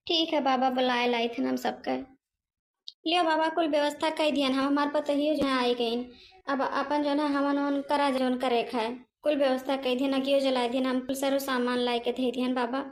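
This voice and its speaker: A woman's voice: high-pitched, level tone, moderate pace. A woman voicing a timid, sly old village mother-in-law in a cartoon comedy, rambling and placating with an Awadhi accent. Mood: anxious, pleading. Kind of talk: amateur cartoon comedy voice acting. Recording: music behind the voice.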